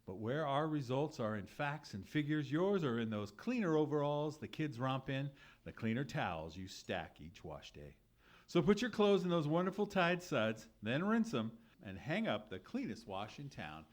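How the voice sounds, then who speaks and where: A man in the role of an old-time radio announcer. His medium-pitched voice rises and falls widely, with theatrical emphasis and polished scripted delivery. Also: big pitch swings, moderate pace. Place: live theatre stage.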